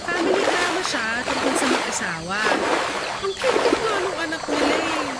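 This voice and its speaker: A woman's voice: high voice, big pitch swings, moderate pace, heavy background noise. An actress playing an affectionate young wife and mother in a radio drama, with a sweet, homely manner. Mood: giddy, hopeful. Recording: loud music behind the voice.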